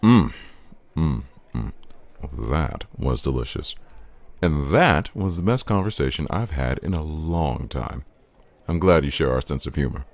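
Very deep, suave flirtatious man played by an actor. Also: lively intonation, measured pace, a little mellow, heavily acted. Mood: genial, sociable.

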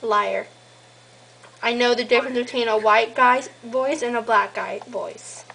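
High-voiced young woman teasing on a call. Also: fairly even intonation, measured pace, gravelly, fairly bright tone. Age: young adult. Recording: noisy amateur home camera recording.

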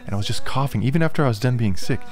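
A man in his thirties with a slightly hoarse, congested voice, chatting casually and rambling about his music from a small home studio, laughing often. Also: mid-range voice, racing, a little mellow. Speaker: musician vlogger. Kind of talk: casual behind-the-scenes chat. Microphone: studio mic on a boom, wearing headphones.

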